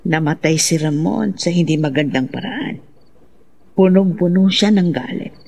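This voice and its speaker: An actor playing a grave, knowing older mother, solemn and foreboding, with a gravelly, husky voice in a radio drama. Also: lowish voice, normal speed, darkish tone.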